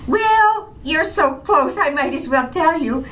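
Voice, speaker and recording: A woman's voice: sits high, animated, slow pace, a bit bright, a little hiss or hum, full character voice. A woman playing a cheerful, scatterbrained housewife with guileless, illogical logic, in old-time radio comedy style. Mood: bright, guileless.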